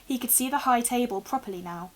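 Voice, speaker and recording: A young woman reads a storybook aloud in an expressive narrating style. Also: on the high side, fast talker. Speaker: reader, teacher. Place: small room indoors with bookshelves.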